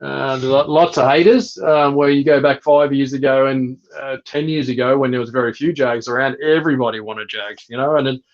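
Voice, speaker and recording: A man's voice: mid-range voice, fairly even intonation, fairly quick, hardly any pauses. A man talking casually and unscripted as a reptile breeder, in a hesitant, matter-of-fact way. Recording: slightly dull, lo-fi sound.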